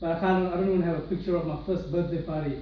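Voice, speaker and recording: A man's voice: fairly high pitch, steady tone, racing, highs cut off, slight background noise. A young man from Sri Lanka giving an earnest personal testimony to an audience in a large room. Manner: earnest, many restarts and fillers. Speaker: speaker sharing his testimony. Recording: echoey hall, voice from far off.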